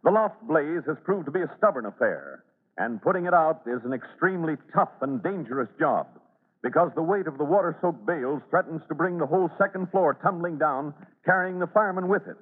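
A man's voice: on the high side, lively intonation, fairly quick, husky. A man narrating a scripted radio drama as announcer, emphatic and declamatory in 1940s style. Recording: narrow-band old radio broadcast.

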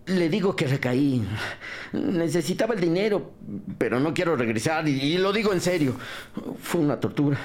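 A man's voice: fairly high pitch, expressive, moderate pace, a little mellow, close to an everyday voice. An actor playing a cornered, defensive ex-convict suspect who pleads and stammers his denials in a crime audio drama. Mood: distraught pleading.

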